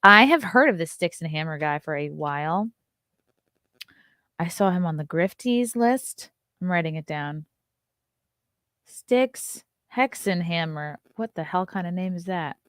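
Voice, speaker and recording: Blunt, sarcastic woman with a low voice. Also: expressive, slow pace, long pauses, a bit bright, dry recording, clean studio sound. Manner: sarcastic, blunt, swears freely. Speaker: livestream host. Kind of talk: casual livestream commentary reading chat.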